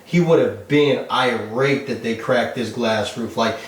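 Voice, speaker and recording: A man's voice: medium pitch, unhurried. A man interviewing a friend, talking emphatically and casually, backing up his guest. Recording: very echoey room, mic far off.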